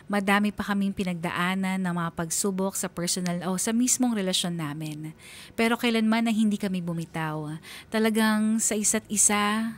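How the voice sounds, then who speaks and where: A woman telling a first-person love story on radio in an emotive, confessional, dramatic style. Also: medium pitch. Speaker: radio storyteller reading a listener's letter. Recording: music under the voice. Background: background music.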